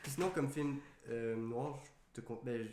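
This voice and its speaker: A man's voice: medium pitch, normal speed, background noise. A young man, casually chatting and recommending films. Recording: echoey room.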